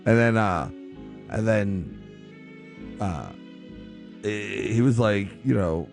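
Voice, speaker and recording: A man hosting a radio show with casual, off-the-cuff chat, rambling, halting and repeating himself. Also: lowish voice, animated, vocal fry, over music.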